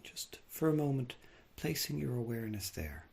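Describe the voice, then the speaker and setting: A man leading a guided body scan meditation, speaking gently and without hurry, leaving long pauses. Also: mid-range voice, sing-song, vocal fry, husky. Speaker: mindfulness meditation teacher.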